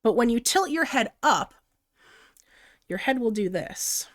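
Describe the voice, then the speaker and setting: A woman's voice: a little high, animated, a little slow. Stuffed-up young woman streamer. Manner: chatty and giggly, often reading chat aloud. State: stuffed-up nose from a recent flu. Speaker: streamer teaching digital art. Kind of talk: casual live-stream tutorial.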